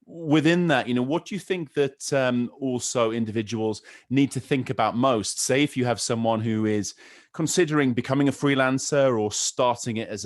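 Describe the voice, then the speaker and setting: Podcast host, man from a Nordic country, mid-range pitch, rambling questions with repeats. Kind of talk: podcast interview.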